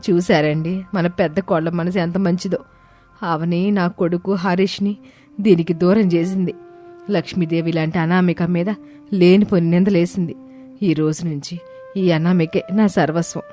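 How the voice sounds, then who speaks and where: A woman's voice: on the low side, steady tone, moderate pace, mellow, natural voice. A woman voicing an emotional, remorseful older mother-in-law in a dramatized moral story. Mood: grateful. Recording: music bed under the voice.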